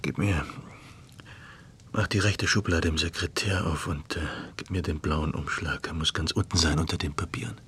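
An actor playing an ailing, middle-aged writer and court official in a German radio play, halting and brooding, restless with self-doubt and sardonic. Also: male voice, extremely low pitch, fairly even intonation, a bit bright, a little hiss or hum. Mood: weary, insistent.

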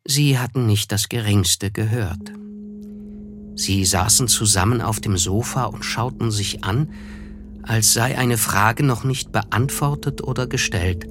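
An actor playing a reflective first-person narrator, reading literary prose in a measured, wry way for a German radio drama. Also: male voice, fairly low pitch, background noise, lightly acted. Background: music under the voice.

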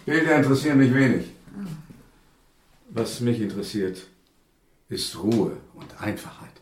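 An actor playing a genteel, weary and odd gentleman scientist in a radio drama, formal, courteous and deliberate. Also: mid-range voice, animated, close to an everyday voice. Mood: polite, reserved. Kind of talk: German radio drama acting. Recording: roomy binaural interior.

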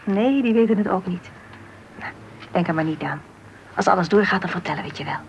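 An actress playing a caring, brisk mother who coaxes her son in a Dutch radio play. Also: lowish voice, animated. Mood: soothing. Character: caring, worried mother of a schoolboy. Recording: dull, faintly noisy old radio recording.

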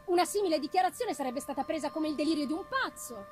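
A woman giving a solemn, deliberate dramatic narration of a gothic novel, with live music behind her. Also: high voice, lively intonation, a little mellow. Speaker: reader.

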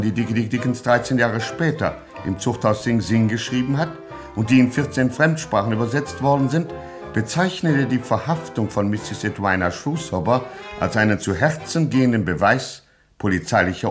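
Pathetischer Erzähler, männlich, mittlere Stimmlage. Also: male voice, medium pitch, expressive, moderate pace, music in the background. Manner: mock-epic, suspenseful. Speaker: narrator. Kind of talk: melodramatic scripted radio narration.

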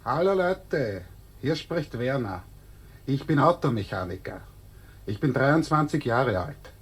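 Surly twenties biker mechanic, Viennese, slow, husky, radio satire. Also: male voice, medium pitch, animated. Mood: proud. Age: 20s. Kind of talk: satirical radio play acting.

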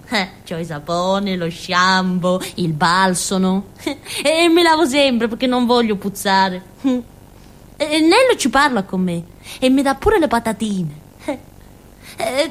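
An actress playing a naive, simple-minded village woman in a theatrical monologue, rambling and childlike with a southern Italian, Calabrian accent. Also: fairly high pitch. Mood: pleased, chatty. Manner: rambling, childlike, with muttered asides and little interjections. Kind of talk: theatrical monologue in a radio drama.

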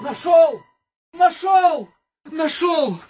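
An actor playing an earnest, triumphant young suitor in a comic radio play, declaiming theatrically. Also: male voice, very high voice, expressive, very slow, raised voice, loud music behind the voice, exaggerated voice.

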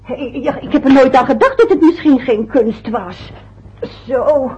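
A woman's voice: high-pitched, unhurried, a little mellow, slightly rough, highs cut off, heavily acted. An actress playing an anxious, fussy middle-aged mother in an old radio play, chatty and eager to please. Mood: fretful.

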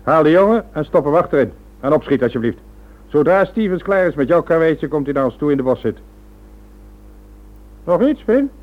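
An actor playing a ruthless upper-class villain in a Dutch radio play, commanding and coolly deliberate. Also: male voice, fairly high pitch, sing-song. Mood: curt, commanding. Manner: imperious, self-justifying, coolly deliberate. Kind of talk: Dutch radio drama acting. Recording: muffled old radio play recording.

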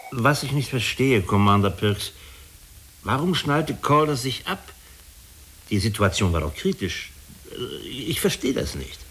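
An actor playing a puzzled, probing director in a German radio drama, questioning in a conversational way. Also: male voice, medium pitch, sing-song, measured pace, background noise. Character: male space-agency director, probing, puzzled inquiry leader.

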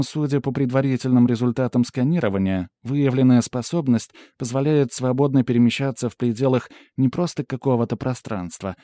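A man's voice: medium pitch, mellow, dry recording, studio quality, voice pushed up, close to an everyday voice. A man voicing a female AI assistant in an audiobook, reporting formally and precisely in technical sentences. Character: Pandora, female AI assistant, precise and matter-of-fact. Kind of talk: audiobook character dialogue.

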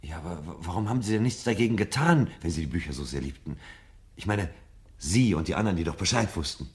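A troubled, searching husband in a radio drama. His voice sits very low. He talks earnestly and haltingly, as someone unsure of himself and looking for answers. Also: animated, a little mellow. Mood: earnest, questioning. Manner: hesitant, earnest. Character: troubled, searching married man, former book-burner. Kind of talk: 1970 German radio drama acting.

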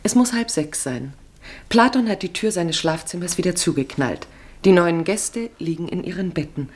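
A low-pitched female narrator from a 1950s radio feature. She tells a literary travel story in the first person, declaiming it carefully and poetically. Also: expressive, moderate pace.

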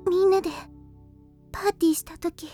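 A very high-pitched, soft-spoken teenage girl from an anime audio drama. She is devoted and fragile, choosing her polite words with hesitation. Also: steady tone, a little fast, faint music behind. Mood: hesitant, wistful.

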